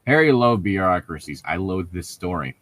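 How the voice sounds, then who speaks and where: Exasperated, low-voiced man on mic. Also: lively intonation, moderate pace, a bit bright. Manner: exasperated, sweary, mocking. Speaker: co-host reading fan fiction aloud. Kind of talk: sarcastic read-aloud with ranting commentary.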